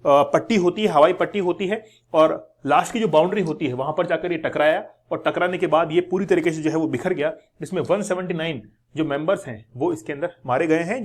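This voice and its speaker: A man with an Indian accent presenting an explanatory news lesson to viewers, going step by step, repeating key words and mixing in English terms. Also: on the high side. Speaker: educational channel presenter.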